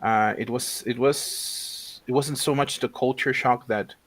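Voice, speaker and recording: A man's voice: mid-range voice, fairly even intonation, measured pace. A man in his thirties from Mexico, a guest in a casual interview, talking with many fillers and restarts. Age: 30s.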